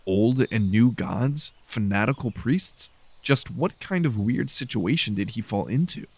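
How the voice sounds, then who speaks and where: Low, gravelly male story narrator. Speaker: audiobook narrator.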